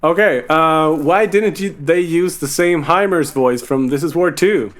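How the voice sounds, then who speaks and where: A man's voice: fairly high pitch, unhurried, fairly bright tone. A man hosting a casual group Q&A, informal and given to swearing as he reads questions and answers them. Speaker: music producer hosting a Q&A.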